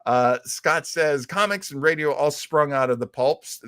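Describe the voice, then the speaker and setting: Bright, forceful male livestream host. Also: a little high, a little slow, smooth, dry recording, studio quality. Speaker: show host. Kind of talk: casual livestream chat.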